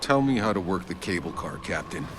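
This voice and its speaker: An actor playing a terse, stoic, battle-hardened captain in a video game cutscene. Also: male voice, deep voice, animated, a little fast, background noise. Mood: terse, determined. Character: stoic, self-reliant male captain, battle-hardened hero.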